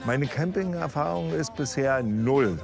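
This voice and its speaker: Playful, teasing man with a lively voice. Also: medium pitch, a little slow, creaky. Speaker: show guest. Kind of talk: casual chat. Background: background music.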